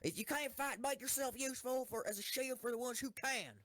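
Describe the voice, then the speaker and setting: A woman's voice: high voice, a little fast, fairly bright tone. A woman acting a ruthless, hot-tempered young female tank commander in an audio drama, commanding and intense. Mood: scornful anger.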